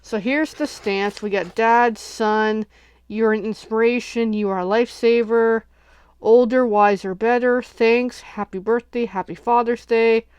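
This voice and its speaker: A woman's voice: on the high side, fairly even intonation, unhurried, darkish tone, smooth, no echo. A sniffly woman hosting a casual crafting livestream, chatting and thinking aloud as she unboxes and works at home.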